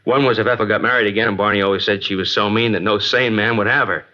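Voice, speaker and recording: A man's voice: lowish voice, fairly even intonation, quick pace, bright, forceful tone. A man acting a guarded, reluctant working-class garage owner in an old-time radio drama, colloquial and grudging. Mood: grudgingly candid. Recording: dull vintage radio broadcast.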